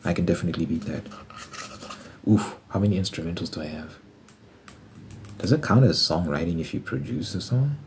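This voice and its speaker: A man's voice: sits low, animated, a little fast, pauses often, a little mellow, slight background noise. A man in his twenties chatting casually on an ASMR channel, musing aloud and doing sums as he talks to himself. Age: 20s. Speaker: ASMR creator and musician. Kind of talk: casual rambling ASMR chat.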